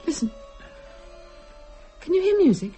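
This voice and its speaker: A woman's voice: extremely high pitch, sing-song, measured pace, dark tone, soft background music, full character voice. An actress playing a composed, sceptical and inquisitive woman in a radio drama, cool and matter-of-fact. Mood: puzzled, intent. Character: composed, sceptical, inquisitive female dinner guest. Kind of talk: dramatic radio play acting.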